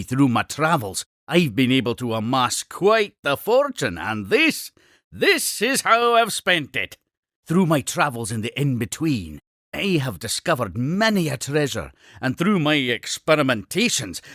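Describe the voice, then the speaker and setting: A man's voice: medium pitch, very animated, unhurried, bright, forceful tone, no room sound, studio quality, exaggerated voice. A man voicing an exuberant, eccentric Scottish explorer-inventor creature in a cartoon game, grandiose and effusive with old-fashioned phrasing. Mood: proud, showy. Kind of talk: theatrical cartoon game character dialogue.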